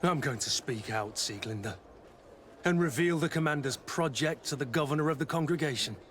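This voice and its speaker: An actor playing a weary, loyal veteran soldier in a video game, speaking in an earnest, measured way. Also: male voice, fairly high pitch, fairly bright tone, a little hiss or hum, lightly acted. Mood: grave, regretful. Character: weary, loyal veteran mercenary soldier, plain-spoken and resolute. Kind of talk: video game dialogue acting.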